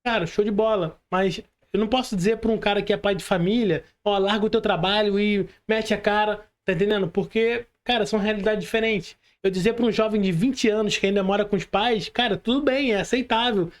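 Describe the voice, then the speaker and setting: A man's voice: high-pitched, fairly even intonation, a little fast, few pauses. Brazilian male, motivational podcast speaker. Earnest, exhorting, informal, medium delivery. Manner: earnest, exhorting, colloquial with frequent check-in tags.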